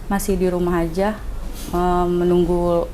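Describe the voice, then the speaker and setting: A woman of 25 answering as an interview candidate, halting and searching for her words. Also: on the low side, flat delivery, warm tone, slight background noise, low-quality recording. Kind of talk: self-introduction and interview answers.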